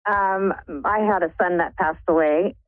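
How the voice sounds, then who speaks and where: A woman in her forties calling in to a radio show, soft-spoken and often laughing. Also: on the low side, steady tone, measured pace, no echo. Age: 40s. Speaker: caller. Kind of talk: phone call-in. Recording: tinny phone line.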